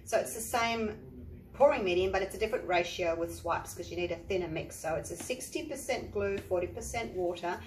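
Aussie lady teaching painting, kinda croaky, chatty, echoey room with a bit of hiss. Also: mid-range voice, a little fast. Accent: Australian. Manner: chatty, explaining step by step. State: croaky from flu. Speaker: art teacher. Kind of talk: tutorial narration. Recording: echoey room, faint noise.

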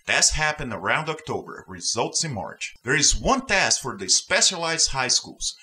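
A man's voice: mid-range voice, sing-song, moderate pace, pushed and bright. A man from Brazil hosting and reading online stories aloud, with emphatic, opinionated commentary. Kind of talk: scripted story reading with personal commentary.

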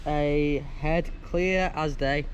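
A man's voice: a little high, steady tone, drawn-out words, slight background noise. A young man, chatting and teasing his friends as he narrates a road trip vlog on a handheld action camera. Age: young adult. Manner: chatty, teasing, laughs often. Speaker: travel vlogger. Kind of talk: casual travel vlog narration. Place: outdoors, streets, beach, car and arcade. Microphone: action camera held at arm's length.

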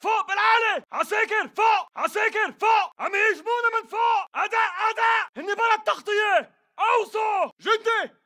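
Shouting Levantine Arabic militant fighter. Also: male voice, very high voice, brisk. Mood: urgent, commanding. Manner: terse, barked battle callouts. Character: aggressive male militant fighter in combat, hostile and urgent. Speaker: game voice actor. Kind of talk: shouted video game combat callouts.

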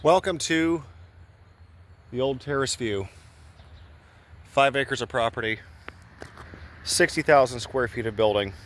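A man's voice: mid-range voice, a little slow, long pauses, a bit bright. Casual vlog host, man in his 30s, mid-range voice, filler-heavy and joking, handheld camera close up. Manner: rambling, self-deprecating, filler-heavy. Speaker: channel host. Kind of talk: casual vlog walk-and-talk. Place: abandoned building halls and outdoor grounds. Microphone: handheld camera held close, low angle.

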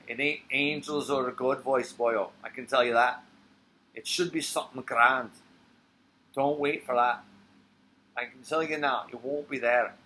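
A man's voice: medium pitch, bright, forceful tone, natural voice, low-quality recording. Stage play: bitter Irish barfly, read by a man, emphatic storyteller, agitated and coarse, faint noise behind. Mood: bitter, wry. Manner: foul-mouthed, emphatic storytelling. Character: agitated, bitter Irish barfly recounting a near-death scrape.

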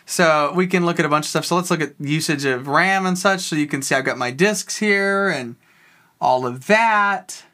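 A tech video host giving a casual, rambling walkthrough of a software tool, thinking aloud while clicking. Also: mid-range voice, moderate pace.

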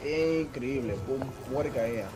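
A man's voice: medium pitch, normal speed, over loud music, low-quality recording. A man bantering playfully in game voice chat, mixing Spanish and English as he teases. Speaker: gamer in voice chat.

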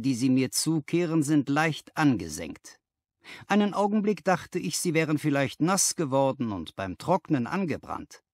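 A man acts out a keen gentleman detective from a classic storybook, his voice raised and forceful. He reasons aloud in a genteel, self-assured way with swooping emphasis. The recording is dry and close. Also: fairly high pitch, no room sound, voice pushed up, full character voice. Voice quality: bright, forceful. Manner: crisply analytical, genteel. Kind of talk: audiobook character reading.

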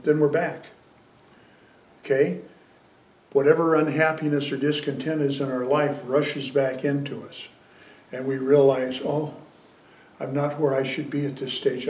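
An older man giving a dharma talk as a Zen teacher, conversational and gently humorous. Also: on the high side. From United States. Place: small meditation room indoors. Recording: roomy, distant sound.

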